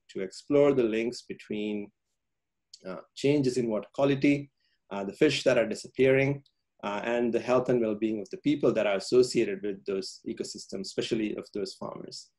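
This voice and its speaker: A man's voice: lowish voice, moderate pace, darkish tone. Hesitant man presenting research on a video call. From Sri Lanka. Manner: hesitant, many ums and uhs, restarts. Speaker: scientist giving a public talk.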